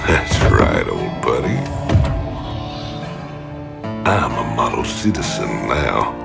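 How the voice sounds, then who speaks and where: Very deep, gravelly outlaw brute. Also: male voice, big pitch swings, measured pace, over loud music, heavily acted. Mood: sly. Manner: drawling, folksy, taunting. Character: big gruff swaggering male outlaw convict.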